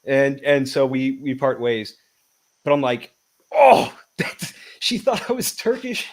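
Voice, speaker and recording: Male co-host, mid-pitched, loose storyteller who swears freely. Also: big pitch swings, slow. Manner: rambling storyteller with profanity and stumbles. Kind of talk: casual chat.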